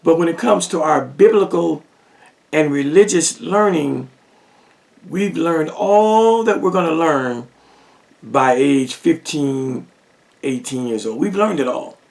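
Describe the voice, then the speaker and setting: A man's voice: on the high side, lively intonation, takes it slowly, gravelly, a little mellow, distant mic. A man giving a lecture-style religious teaching monologue, pressing his points with rhetorical questions and repetition.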